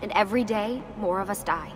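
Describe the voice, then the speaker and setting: An actress playing a guarded, earnest young woman assassin in a video game, speaking in a measured way. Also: mid-range voice, lightly acted. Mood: grave, persuasive. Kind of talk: video game cutscene acting. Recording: music behind the voice.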